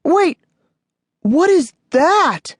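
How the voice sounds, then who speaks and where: A woman's voice: very high-pitched, sing-song, extremely slow, dry recording, clean studio sound, full character voice. A woman voicing a cautious, easily frightened young boy in an audiobook story, with an anxious, exclaiming delivery. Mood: panicked. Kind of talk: audiobook character acting.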